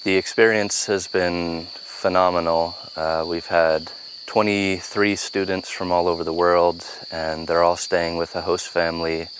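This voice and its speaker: A man talks about his course in an earnest, measured way. His voice is very low and keeps a flat, even line. Steady outdoor noise sits clearly behind him. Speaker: permaculture co-instructor. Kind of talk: interview to camera.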